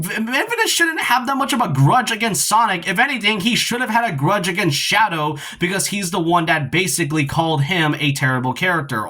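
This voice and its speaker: A man's voice: fairly high pitch, bright, forceful tone. A man giving casual, rambling opinion commentary on a video game in a conversational, critical way.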